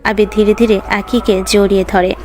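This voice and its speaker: A woman's voice: medium pitch, flat delivery, moderate pace, a little mellow, faint music behind. A woman reading scripted narration for a romantic story.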